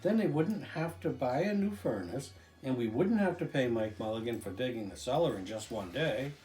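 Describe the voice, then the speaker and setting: A man's voice: mid-range voice, lively intonation, moderate pace, darkish tone, roomy sound, soft background music, close to an everyday voice, rough recording. A man voicing an eager, urgent little boy in a children's storybook. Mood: hopeful. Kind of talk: children's storybook read aloud.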